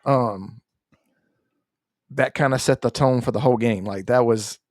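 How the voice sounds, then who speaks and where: A man's voice: mid-range voice, unhurried, dry recording, clean studio sound. A man co-hosting a gaming podcast, talking in an informal, conversational way. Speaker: podcast co-host. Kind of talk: casual gaming podcast chat.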